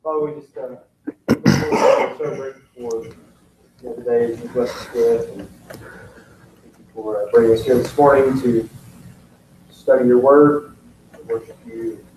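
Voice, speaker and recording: A man offers a spontaneous prayer, his dark, mellow voice soft and hesitant. He sits away from the microphone, so he sounds distant in an echoey room. A faint hum of ambience runs underneath. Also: mid-range voice, steady tone. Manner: soft and halting, with fillers. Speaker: class member leading prayer. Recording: distant and roomy with faint noise.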